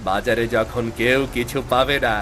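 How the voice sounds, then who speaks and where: A man's voice: medium pitch, fairly even intonation, normal speed, bright, forceful tone, a little hiss or hum, close to an everyday voice. A man playing a greedy, scheming, bullying moneylender in a cartoon, with a mocking, gloating manner. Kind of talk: Bengali cartoon voice acting. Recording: music behind the voice.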